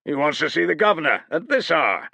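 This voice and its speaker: Contemptuous Praetorian guard, put on by a male narrator, high and tense. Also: moderate pace, sharp tone, husky, no room sound, full character voice. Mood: smug. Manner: smug, contemptuous.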